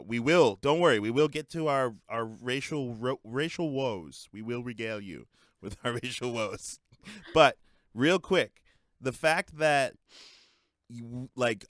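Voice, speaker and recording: A young man co-hosting a casual podcast chat, animated and playful, laughing often. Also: mid-range voice, dry recording, clean studio sound. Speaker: podcast co-host.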